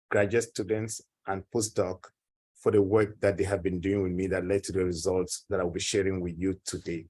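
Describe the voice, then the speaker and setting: A man from Cameroon giving a conference presentation, earnest and growing animated as he tells of his home village. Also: lowish voice, breathy edge. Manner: earnest, grows animated telling personal stories. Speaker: consortium director and professor.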